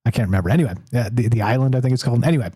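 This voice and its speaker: A man's voice: medium pitch, racing, dark tone, breathy edge, dry recording, clean studio sound. A man hosting a call-in talk show, rambling with many restarts and frequent laughs. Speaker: host.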